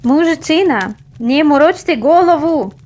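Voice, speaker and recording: A woman's voice: sits high, animated, a little slow, a little mellow, pitched above the performer's own voice. A woman voicing a burly, impatient female ticket checker with a Baltic accent, curt and commanding, in a storybook. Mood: impatient, brusque.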